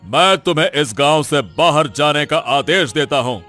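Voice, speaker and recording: Man acting a higher-voiced village headman. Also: animated, a little slow, sharp tone, soft background music. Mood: stern, commanding. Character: stern authoritative village headman passing judgment. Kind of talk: Hindi cartoon moral-story voice acting.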